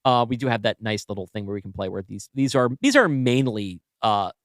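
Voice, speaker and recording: A man co-hosting a podcast, brainstorming casually and thinking aloud in halting, self-correcting bursts. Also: on the low side, expressive, no room sound, studio quality. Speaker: podcast co-host and writer.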